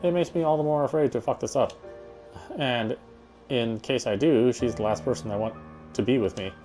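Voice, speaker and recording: A man's voice: medium pitch, normal speed, dark tone, faint music behind. A man reading a first-person game story aloud, now and then stumbling over a word and repeating it.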